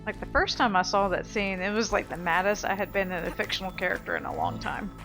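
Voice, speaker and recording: A woman's voice: mid-range voice, fairly even intonation, fast talker, music in the background. A woman giving casual, unscripted let's play commentary in a blunt, sweary, opinionated manner. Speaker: gaming video host.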